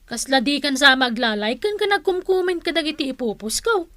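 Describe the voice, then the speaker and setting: A woman's voice: sits high, sing-song, fairly quick, fairly bright tone, no echo. An actress playing a bossy, vain woman in a radio comedy drama, insistent and nagging. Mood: pestering, sulky. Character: bossy, vain woman hooked on Facebook likes.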